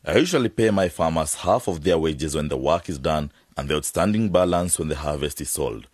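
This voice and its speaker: Deep, husky farm owner, insistently persuasive. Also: male voice, fairly quick, no echo. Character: earnest farm owner and peanut producer bargaining for help. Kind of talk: radio drama acting.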